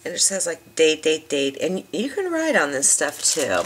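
A woman hosting a craft demonstration, slightly hoarse from a lost voice, chatting and rambling as she shows paper products. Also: sits low, sing-song, moderate pace, a little hiss or hum. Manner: chatty, rambling, enthusiastic asides. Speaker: craft video host.

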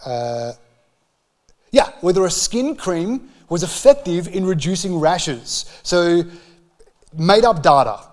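A man in his forties with an Australian accent, preaching a conversational sermon into a handheld microphone with emphatic, deliberate phrasing. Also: sits high, darkish tone. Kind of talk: sermon, conversational public teaching. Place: church hall with stage.

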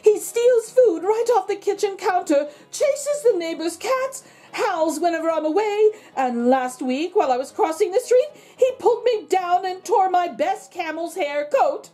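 A woman's voice: very high-pitched, lively intonation, a little slow, hardly any pauses, warm tone, voice pushed up. A woman reader playing a fretful, exasperated dog owner in a children's storybook, with a plaintive manner. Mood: exasperated fretting. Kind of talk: children's storybook read-aloud.

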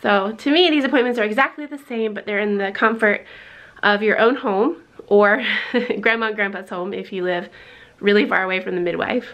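A woman's voice: mid-range voice, normal speed, a bit bright. A woman in her thirties, a pregnant mother chatting casually to a handheld camera at home, laughing easily. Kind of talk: casual family vlog chat. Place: home bedroom and kitchen. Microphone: handheld camera at arm's length.

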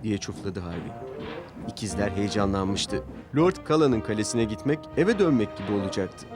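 A man's voice: fairly low pitch, moderate pace. A man narrating a scripted children's story as the storyteller. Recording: music bed behind narration. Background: music.

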